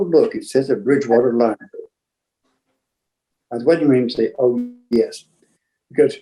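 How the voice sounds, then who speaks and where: Older guy, soft dark voice, rambling along like a storyteller, stops and starts a lot. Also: mid-range voice, poor audio. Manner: rambling, halting raconteur who acts out remembered exchanges. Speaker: museum founder and book collector, guest storyteller. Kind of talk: casual anecdotal storytelling.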